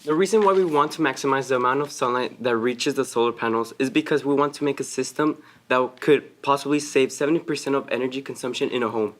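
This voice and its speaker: Young Texan man, student presenter, measured read of numbers, slight stumbles. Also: mid-range voice, a bit bright. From Texas. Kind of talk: reading a prepared presentation.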